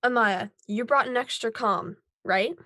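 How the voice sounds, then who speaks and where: A woman's voice: on the high side, sharp tone, dry recording. Bossy woman acting as a superhero team leader, dry sound. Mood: commanding. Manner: brisk, commanding. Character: Rory, bossy no-nonsense female werewolf superhero team leader. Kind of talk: amateur scripted table read.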